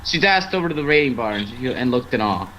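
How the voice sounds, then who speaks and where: Male amateur narrator, mid-pitched, slightly noisy recording. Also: animated, fast talker, sharp tone. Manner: casual storytelling, stumbling slightly over the script. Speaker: story narrator. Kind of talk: amateur scripted fan fiction narration. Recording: noisy amateur online stream.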